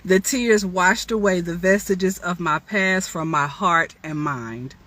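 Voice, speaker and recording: A woman's voice: fairly low pitch, takes it slowly, sharp tone. A woman author giving an inspirational storytime talk, reading from her own memoir with chatty, testifying asides that address listeners directly.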